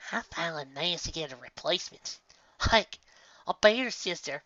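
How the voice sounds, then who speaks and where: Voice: mid-range voice, no echo, dull audio, pitched above the performer's own voice. A reader voicing a resentful young filly apparition who bitterly accuses her sister, in a storybook reading. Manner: bitter, accusing, rising to shouts.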